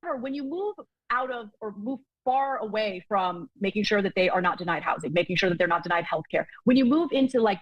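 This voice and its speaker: A woman in a played clip, giving political commentary in a measured, explanatory way with some hesitant fillers. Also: mid-range voice, no echo. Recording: dull, lo-fi clip audio.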